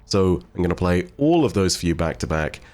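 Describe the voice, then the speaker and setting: A man's voice: low voice, very animated, a little fast, darkish tone. A man hosting a lore channel, giving casual explanatory commentary on a video game's story. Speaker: host of a game lore channel.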